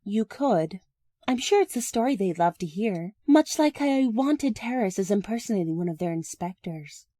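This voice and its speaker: Woman acting a mellow, sweetly sly woman. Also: medium pitch, expressive, moderate pace, dry recording, close to an everyday voice. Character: sweet-talking, slyly passive-aggressive soldier's ex-partner. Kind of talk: comedic video game parody dub.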